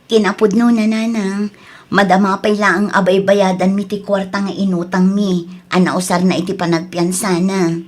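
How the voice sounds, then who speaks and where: A woman's voice: medium pitch, flat delivery, normal speed, natural voice. Woman acting a long-suffering young Ilocano wife. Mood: weary, subdued. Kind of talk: Ilocano radio drama acting.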